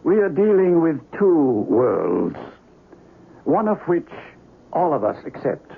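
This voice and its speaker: An actor playing a grave, learned investigator of the supernatural in a radio mystery, speaking formally and deliberately. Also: male voice, on the high side, big pitch swings, creaky, slightly rough, exaggerated voice. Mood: grave, knowing. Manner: precise, formal, deliberate, theatrical. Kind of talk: radio mystery drama acting. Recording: noisy dull radio broadcast recording.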